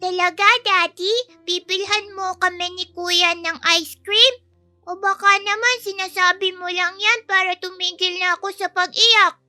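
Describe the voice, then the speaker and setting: A woman's voice: very high voice, steady tone, a little slow, sharp tone, no room sound, faint music behind, pitched above the performer's own voice, exaggerated voice. A woman voicing a bubbly, demanding three-year-old girl in an audio story, cutesy and pleading. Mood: doubtful.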